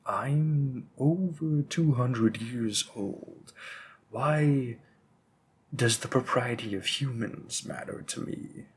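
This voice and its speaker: A man performs an ancient, refined male vampire in an audio roleplay. He speaks slowly and deliberately at a medium pitch, condescending and pedantic, with the menace of a protective husband. There is faint noise behind the voice. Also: lightly acted. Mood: musing, condescending. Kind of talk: scripted roleplay monologue addressed to the listener.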